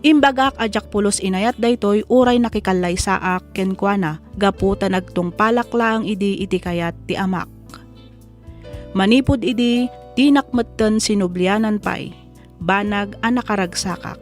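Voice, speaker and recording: A woman's voice: mid-range voice, moderate pace. A woman with an Ilocano accent giving dramatic scripted narration, reading a letter for a radio drama. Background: music.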